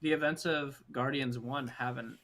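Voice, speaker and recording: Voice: medium pitch, steady tone, normal speed, crowd noise. A podcast co-host in a casual movie chat, speaking enthusiastically with lots of slang.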